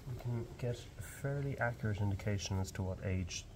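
Young Irish man, low register, calm field-guide delivery, shed ambience audible. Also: a little fast, noisy background. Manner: calm, explanatory. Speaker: barn owl ringer. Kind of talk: explaining fieldwork on camera. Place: open farm shed outdoors.

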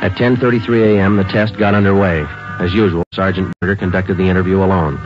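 A man's voice: low-pitched, flat delivery, fast, over music, natural voice. An actor playing a terse police detective who narrates his case in a clipped, matter-of-fact way, on a dull old radio recording. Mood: flat. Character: terse male police detective, middle-aged. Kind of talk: 1950s radio drama narration.

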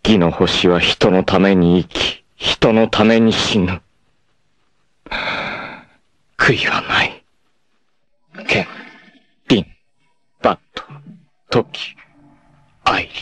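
An actor playing a proud, self-sacrificing long-haired martial artist in a 1980s anime, speaking solemnly and dramatically with fierce shouts in battle. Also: male voice, low voice, slow pace, long pauses, heavily acted. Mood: peaceful resolve.

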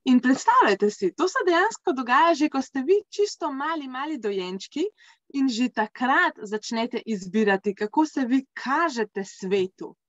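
A woman's voice: high-pitched, expressive, moderate pace, no room sound. Woman interpreter, dull call audio. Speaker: consecutive interpreter. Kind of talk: live consecutive interpreting. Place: small indoor room, video call. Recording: dull lo-fi video-call sound.

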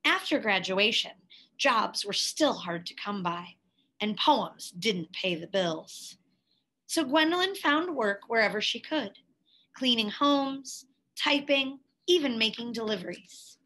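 A woman reading a picture book aloud, with careful, expressive storytelling phrasing. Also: fairly high pitch, slow pace, poor audio. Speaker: storybook reader.

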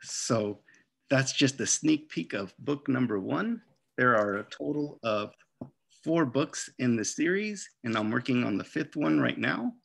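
A man's voice: medium pitch, animated, unhurried, creaky, a bit bright, husky. A middle-aged man, a children's author, reading expressively and chatting casually over a video call. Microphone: video call microphone.

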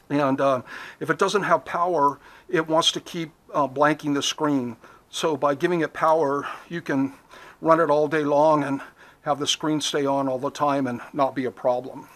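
A middle-aged man giving a casual, unhurried, matter-of-fact hands-on gear demonstration, recorded outdoors on a porch on a body-worn action camera. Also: on the high side, fairly bright tone. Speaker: hobby angler demonstrating gear. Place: covered concrete porch outdoors. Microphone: head or chest-mounted action camera.

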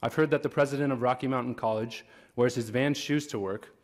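A man's voice: medium pitch, steady tone, a little fast. Earnest young man giving a graduation speech. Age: young adult. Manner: earnest, lightly joking. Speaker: graduating student speaker. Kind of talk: commencement speech. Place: large auditorium stage at a podium. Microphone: podium microphone.

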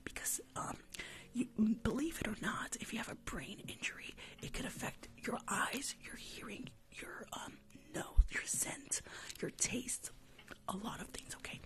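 A young woman in a playful, chatty ASMR medical roleplay, speaking in a breathy whisper close to the microphone and repeating words as she goes. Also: a little high, lively intonation, a little slow, a bit bright, poor audio. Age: young adult. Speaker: ASMR performer playing a doctor. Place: small room at home. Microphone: large desk microphone, close.

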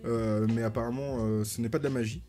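A man's voice: mid-range voice, steady tone, fairly quick. A man co-hosting a livestream, casually relaying viewers' messages in a joking, mock-formal way. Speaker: livestream co-host. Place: indoor room, livestream. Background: music.

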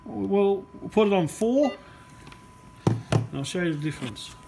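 Higher-voiced man demonstrating over loud noise. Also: animated, measured pace, vocal fry. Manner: explanatory, talking while working. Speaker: radio repair technician presenter. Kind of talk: hands-on repair demonstration commentary. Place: indoor electronics repair workbench. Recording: noisy workshop recording with clicks. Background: workshop noise, clicks, test tones.

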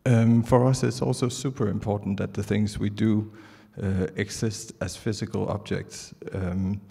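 Man, Danish accent, low husky voice, measured stage presentation, long hall reverb. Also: level tone, dark tone. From Denmark. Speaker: artist presenting at a conference. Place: large indoor theatre stage. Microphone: handheld microphone. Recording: reverberant auditorium PA sound.

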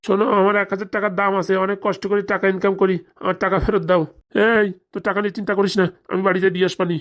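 Someone acting a rapid-fire quarrelsome villager. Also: medium pitch, flat delivery, close to an everyday voice. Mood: argumentative. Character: quarrelsome village characters, threatening elder and evasive father. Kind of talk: comedy cartoon voice acting.

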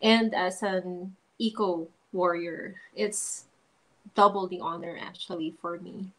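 A woman from the Philippines with a Filipino accent, a pageant titleholder speaking earnestly in a casual interview over a video call, pausing often with ums. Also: lowish voice, fairly even intonation, a bit bright, poor audio. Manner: earnest, hesitant with frequent ums. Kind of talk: casual interview, mixing English and Tagalog.

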